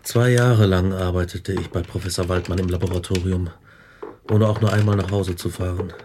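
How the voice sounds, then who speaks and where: An actor playing a remorseful scientist narrating his past in a radio drama, gravelly and confessional. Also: sits low, steady tone, normal speed, background noise, close to an everyday voice. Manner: subdued, confessional.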